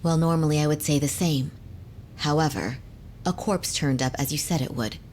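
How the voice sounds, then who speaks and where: A cool, unimpressed lawyer from a video game, voiced by a woman, with a low voice. She is formal, dry and precise, over slight background noise. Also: moderate pace, darkish tone, lightly acted. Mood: cool, probing. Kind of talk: English video game dub acting.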